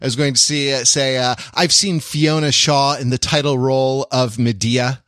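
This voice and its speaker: American man, mid-pitched voice, dry sound, erudite and rambling, casual storytelling, wry. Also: fairly even intonation, normal speed, fairly bright tone, dry recording. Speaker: podcast co-host. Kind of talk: casual conversational anecdote telling.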